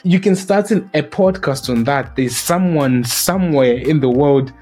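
A man with a Southern African accent hosting a casual podcast chat, speaking in a hesitant, halting way. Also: on the high side, dark tone. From Zimbabwe, grew up in South Africa. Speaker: podcast host.

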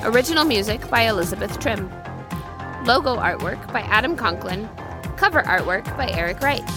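Lively woman reading credits over music. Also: on the high side, normal speed. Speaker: podcast credits announcer. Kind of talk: scripted credits read. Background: music under the voice.

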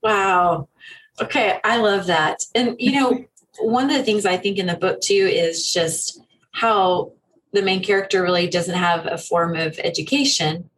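A woman interviews her guest, asking questions in an enthusiastic, affirming way. Her voice sits slightly low. A faint noise runs under the recording. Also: a little slow, fairly bright tone, low-quality recording. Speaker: interviewer host.

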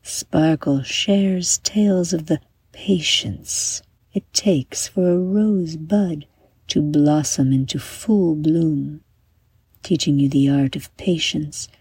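A woman narrating a scripted bedtime meditation as a guide, soothing and unhurried, letting her phrases trail off into breath. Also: low voice, warm tone, slightly rough, dry recording.

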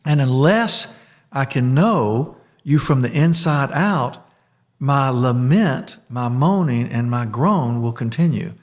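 Rambling, soft-spoken man. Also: mid-range voice, animated, slow pace. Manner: rambling, restarts, trails off softly. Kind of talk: casual conversational chat.

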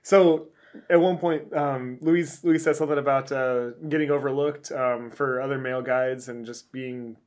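A man's voice: mid-range voice, fairly even intonation, normal speed, a little mellow. A man co-hosting a birding podcast in casual chat, rambling with restarts and joking about himself. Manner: rambling, self-mocking, many restarts. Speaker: podcast co-host.

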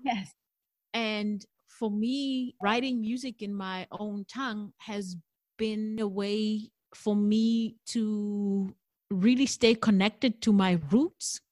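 A woman's voice: mid-range voice, fairly even intonation, slow, darkish tone, no echo. A middle-aged woman from South Africa whose first language is not English, speaking candidly and thoughtfully in an interview as a jazz singer. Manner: thoughtful, candid, laughs easily. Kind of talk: conversational interview.

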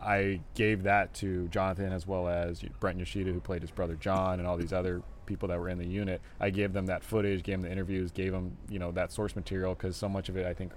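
A man, a film director, answering interview questions in a relaxed, rambling way full of fillers, recorded live at a festival venue. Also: low voice, quick pace, hardly any pauses, a little hiss or hum.

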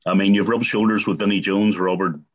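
A man's voice: on the low side, fairly even intonation, a little fast, muffled recording. A man with a Northern Irish accent hosting community radio and interviewing in a conversational style. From Northern Ireland.

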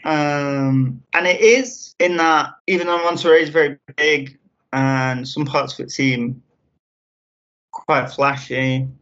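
A man from England answering interview questions casually, halting with frequent ums and restarts. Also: medium pitch, fairly even intonation, fairly bright tone. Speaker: interview guest. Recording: dull lo-fi sound.

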